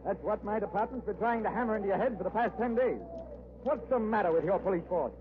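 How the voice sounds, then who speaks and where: An actor playing an exasperated, blunt fire official in a 1930s radio drama. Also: male voice, high-pitched, quick pace, lightly acted. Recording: tinny old radio recording, faint noise.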